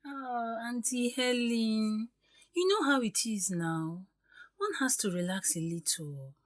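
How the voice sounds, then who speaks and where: A woman playing a cheeky, easygoing student girl, playful and animated, in an audio drama. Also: a little high, takes it slowly. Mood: cheeky, conspiratorial.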